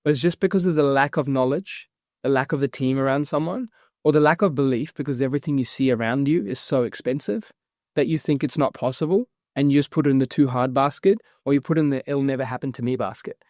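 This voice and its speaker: A man's voice: medium pitch, no room sound, clean studio sound. A man, talking energetically as a guest in a casual interview. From New Zealand. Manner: energetic, fast-flowing explanations with fillers. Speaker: guest, property investment founder.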